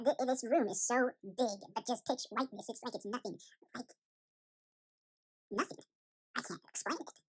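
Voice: medium pitch, a bit bright, husky, rough recording. A performer voicing a panicky, bewildered young chipmunk boy in a cartoon fan story, rambling and repeating themselves in an anxious, dramatic way. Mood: despairing.

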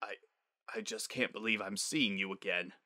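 A man's voice: on the low side, pushed and bright, dry recording, studio quality. A man voicing a middle-aged Pegasus father, stern but tender-hearted, in an audiobook-style story. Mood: tearful. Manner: stern, halting when moved.

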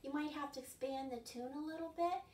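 High-voiced older woman hosting children's storytime. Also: fairly quick. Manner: animated, exaggerated gasps and questions to young children. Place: carpeted living room at home. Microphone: camera across the room. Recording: roomy, distant mic with some background noise.

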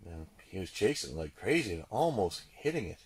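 A man giving casual running commentary as he fishes, muttering and repeating himself in a husky, gravelly voice. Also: sits low, sing-song, moderate pace. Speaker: ice angler demonstrating a fish finder. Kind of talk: excited casual running commentary while fishing.

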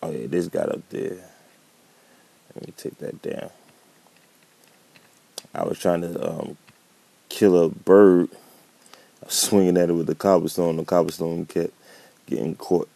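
Man, deep and husky, dark tone, easygoing game commentary with a touch of a cold. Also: steady tone, a little slow, pauses often, dry recording. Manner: laid-back, thinking aloud while building. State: catching a cold. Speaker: gameplay commentator. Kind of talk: casual gameplay commentary.

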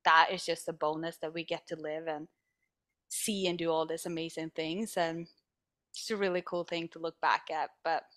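A young woman from northern Sweden with a Swedish accent, chatting as a podcast guest, animated and often laughing. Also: sits low, moderate pace. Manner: animated, laughs often, acts out quoted voices. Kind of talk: casual interview chat.

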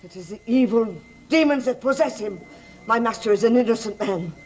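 An actress playing a stern, secretive older female servant in a gothic soap opera, speaking gravely and deliberately. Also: on the high side, lively intonation, a little mellow, a little hiss or hum, natural voice. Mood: grave, alarmed. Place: television studio set of an old house.

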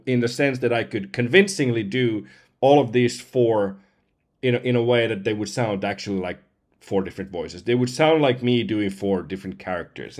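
A man in his forties from Finland, a slightly hoarse voice-over artist giving a casual demo. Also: medium pitch. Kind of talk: casual demo talk to camera. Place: indoors at home computer, seen wearing headset.

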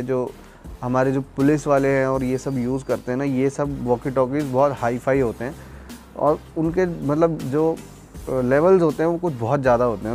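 A man's voice: mid-range voice, a little mellow. A young man gives a casual, explanatory product review in a conversational way, mixing in English words. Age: young adult. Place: outdoors, terrace and courtyard.

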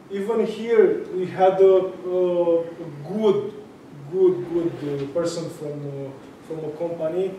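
A young man with a Romanian accent giving a tech meetup talk in a large room, halting with many fillers and restarts. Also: high voice, warm tone, a little hiss or hum. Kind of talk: conference talk. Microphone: far camera. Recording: echoey room from far off.

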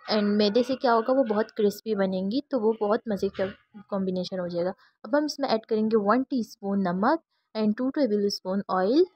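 A woman's voice: on the high side, husky, no echo, muffled recording. Chatty woman. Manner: easygoing, chatty, mixes English words into Hindi-Urdu. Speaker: cooking channel host. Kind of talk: step-by-step cooking tutorial voice-over.

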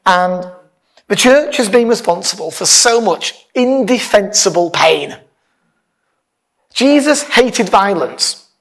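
A man's voice: sits high, animated, takes it slowly, creaky, a bit bright. A man, a pastor giving an informal, conversational sermon from a church stage with emphatic stresses. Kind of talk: informal sermon, partly reading aloud. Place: church hall, speaking from a lectern on stage.

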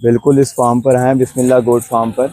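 Young man, mellow low-key voice, dry close sound, courteous. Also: level tone, fast talker, no echo, faint music behind. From Karachi, Pakistan. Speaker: customer. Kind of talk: casual interview answers. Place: outdoor goat farm.

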